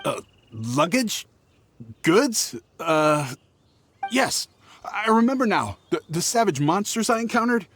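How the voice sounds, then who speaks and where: An actor playing a nervous traveling merchant in a game, with a hesitant, stammering, evasive delivery. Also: male voice, sits high, very animated, slow, long pauses, a bit bright, slight background noise, heavily acted. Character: nervous, shifty traveling merchant man with dubious excuses. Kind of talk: animated game voice acting. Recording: music behind the voice. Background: game music.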